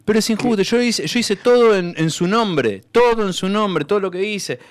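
A man's voice: sits high, animated, moderate pace, clear, resonant tone, no echo. A man with an Argentine accent playing an insistent, pleading believer in the afterlife who complains about a denied reward in a comic radio sketch. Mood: pleading, demanding. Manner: insistent, pleading, complaining, formally addressing his superior. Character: aggrieved male believer in the afterlife demanding his promised reward.

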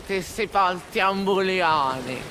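A man with a Gallurese Sardinian accent speaking slowly and emphatically in an audio drama. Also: high-pitched. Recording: noisy background.

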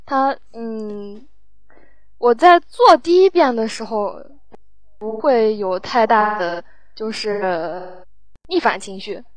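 Casting: woman, raised pitch, halting and unsure, chatting informally in a dry acoustic. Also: no room sound. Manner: hesitant, halting. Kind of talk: casual group chat, recounting production work.

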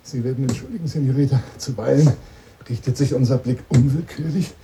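An actor playing a lonely, boastful gentleman courting by telephone in formal, flowery German radio drama style, moving between murmurs and outbursts. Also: mid-range voice, fairly quick, heavy background noise, natural voice. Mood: melancholy, nostalgic. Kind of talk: radio drama monologue as one side of a phone call.

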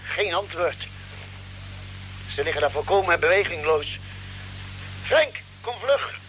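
A noisy old radio drama recording carries a calm, caring ship's doctor on a space expedition. His voice sits a little high for a man, and he sets things out in a measured, deliberate way. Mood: urgent, calling out. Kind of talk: vintage Dutch radio drama acting.

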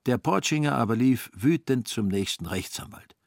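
A man's voice: medium pitch, expressive, moderate pace, creaky, darkish tone, no echo, clean studio sound. A man narrating a literary radio play as a storyteller.

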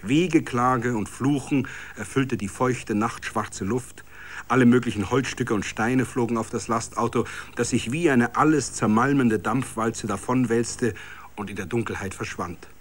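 A male storyteller with a Bavarian accent reads literary prose for radio. His pitch sits in the middle range, and the delivery is scripted, dramatic narration. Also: a little slow.

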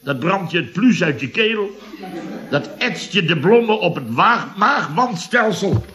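An actor playing a grumbling, sarcastic middle-aged builder boss, blustering and exasperated, in 1970s Dutch radio comedy style. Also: male voice, high voice, animated, unhurried, a bit bright.

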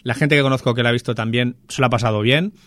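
A man's voice: medium pitch, fast, a bit bright, no room sound, clean studio sound. Chatty man reviewing a film. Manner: chatty, enthusiastic, frequent restarts. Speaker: film reviewer on a radio show. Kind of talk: casual chat.

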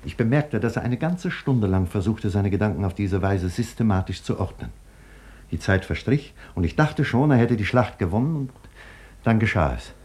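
A sober male scientist tells of a tragedy in a measured, explanatory way. His voice sits on the low side with a husky edge. It is 1950s radio drama narration, grave and deliberate. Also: expressive, a little mellow. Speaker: scientist and doctor narrating his own story.

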